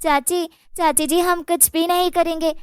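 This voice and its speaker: A woman's voice: extremely high pitch, fairly even intonation, moderate pace, dry recording, studio quality. A woman voicing a timid orphan girl in a cartoon story, a protective older sister who pleads respectfully and plaintively. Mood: frightened. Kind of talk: Hindi cartoon moral story.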